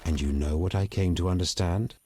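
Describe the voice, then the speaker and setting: A man playing a proud, bitter elf rebel in a video game, articulate and measured, with open disdain. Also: low-pitched, a little mellow. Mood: bitter, reflective. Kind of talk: video game dialogue acting.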